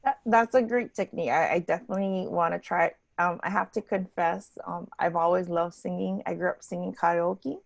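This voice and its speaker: A woman hosting a podcast interview, chatting casually with a raspy voice and an animated, halting way of talking. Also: on the low side, no room sound. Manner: animated, halting, restarts phrases. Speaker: podcast host.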